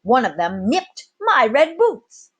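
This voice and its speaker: A woman voicing a commanding, wry male sea captain in a storybook, formal and brisk in manner. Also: high voice, very animated, husky, voice pushed up, heavily acted.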